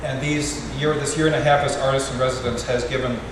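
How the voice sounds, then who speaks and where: A middle-aged man reads a poem in a gentle, wry, unhurried way. He is picked up from across a big reverberant parish hall, with a steady hiss of noise under his voice. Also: mid-range voice. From United States. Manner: gentle, wry, measured reading with pauses. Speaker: parish artist in residence reading a poem. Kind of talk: poetry reading at a farewell gathering. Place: large echoey parish hall. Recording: echoey hall from far off, noisy.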